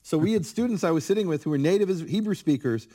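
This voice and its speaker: Middle-aged male guest scholar, slightly high voice, animated and lecturing. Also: quick pace. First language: English. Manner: animated, acts out quoted speakers. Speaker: guest scholar of biblical Hebrew. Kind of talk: conversational interview, lecturing.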